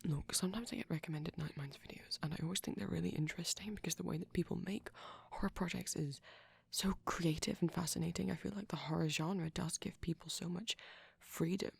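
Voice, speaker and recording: A man voicing a shy, gentle, nervous vampire boyfriend in an intimate ASMR roleplay, speaking softly and often whispering, hesitant and rambling, close to the microphone. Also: on the high side, steady tone, a little fast, heavily acted. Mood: anxious, earnest. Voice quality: soft, breathy, often whispering.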